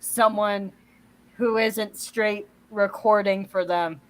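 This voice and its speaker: A woman's voice: medium pitch, fairly even intonation, slow pace, poor audio. A woman on a casual online panel chat who giggles and laughs often. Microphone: online call studio.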